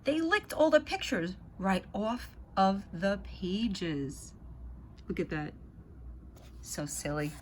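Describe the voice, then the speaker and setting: A woman's voice: mid-range voice, lively intonation, slow, slight background noise. A woman reading a children's picture book aloud, speaking directly to young listeners with little asides as she goes. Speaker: guest storybook reader.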